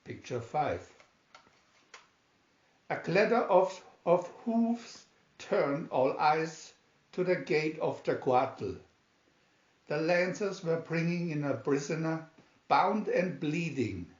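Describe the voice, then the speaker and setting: A man narrates a scripted story at a very slow, deliberate pace. He halts between phrases and stumbles slightly now and then. The recording is roomy and a little dull. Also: a little high, expressive. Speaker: amateur audiobook reader. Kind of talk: scripted story narration, read aloud slowly.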